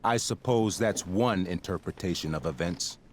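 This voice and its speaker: A stern middle-aged school principal acted by a man with a lowish voice. He speaks in formal, pompous, wordy sentences, handing down judgment as in a dramatic video game scene. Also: normal speed, slight background noise, lightly acted. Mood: cold, severe.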